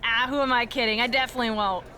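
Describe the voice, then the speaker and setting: A woman's voice: fairly high pitch, fairly even intonation, rapid-fire, a little hiss or hum. An actress playing a boastful, bratty woman wrestling champion in a video game cutscene, smug and mocking. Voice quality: bright, taunting.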